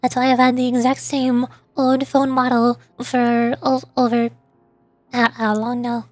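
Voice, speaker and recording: A woman's voice: fairly high pitch, little pitch movement, a little slow, no room sound. A woman livestreamer chatting casually, rambling and often interrupting herself, her voice starting to tire. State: voice starting to get worn out. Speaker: VTuber livestreamer.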